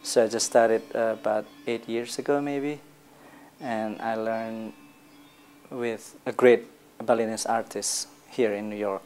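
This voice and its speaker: A man's voice: lowish voice, fairly even intonation, unhurried, a little mellow, a little hiss or hum. A man, answering interview questions hesitantly with many fillers. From Indonesia.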